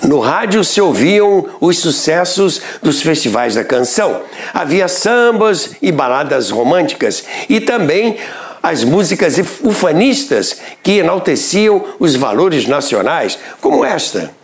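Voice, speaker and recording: A Brazilian man narrates radio history from a script. His voice sits a little high and moves with lively, expressive swings in pitch. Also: a little slow. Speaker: documentary narrator.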